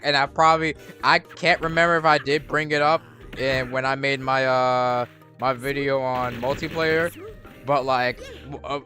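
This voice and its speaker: A man's voice: on the high side, bright, forceful tone. Rambling young man over game music. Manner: rambling, many restarts and fillers, swears casually. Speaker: gaming streamer. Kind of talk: casual gameplay commentary.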